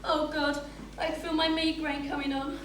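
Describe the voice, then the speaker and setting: A woman's voice: high-pitched, level tone, moderate pace, close to an everyday voice. A woman playing a haughty, indignant aristocratic lady in a stage drama, imperious and exclamatory. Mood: outraged, accusing. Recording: echoey hall from far off, faint noise.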